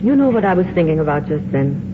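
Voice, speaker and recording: An actress playing a glamorous, world-weary film star, wry and wistful, in 1950s radio drama style. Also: female voice, low-pitched, very animated, fast talker. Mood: wry, melancholy. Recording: noisy, tinny 1950s radio recording.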